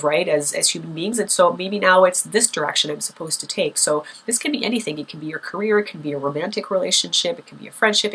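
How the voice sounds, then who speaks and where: Bright-voiced woman vlogger with a cold. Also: fairly low pitch, breathy edge, rough recording. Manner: relaxed, thoughtful, many fillers. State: has a cold, sniffling. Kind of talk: casual talk to camera.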